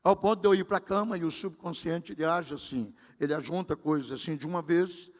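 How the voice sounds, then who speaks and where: A man's voice: fairly high pitch, brisk. A pastor in his sixties giving a sermon, talking conversationally and speaking directly to his congregation. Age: 60s. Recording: long hall reverb.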